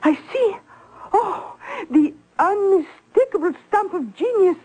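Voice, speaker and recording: Theatrical society lady, high voice, slow drawn-out delivery, melodramatic, radio drama. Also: expressive, a little mellow, full character voice. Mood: theatrical gushing. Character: theatrical Parisian society woman, grandly sentimental schemer. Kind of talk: old-time radio drama acting.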